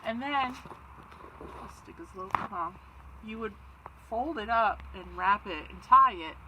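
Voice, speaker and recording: A woman reading a children's story and chatting, expressive, with simple child-directed phrasing. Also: a little high, a little slow, background noise. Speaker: story-time reader for children.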